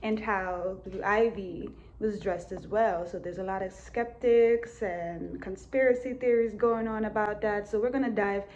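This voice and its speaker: A woman improvising a spiritual tarot reading for an audience, speaking in halting phrases and often tagging statements to check the listener is following. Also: mid-range voice, fairly even intonation, a little mellow, dull audio, a little hiss or hum. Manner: halting phrasing, punctuated with repeated checking tags. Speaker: tarot reader and psychic channeler.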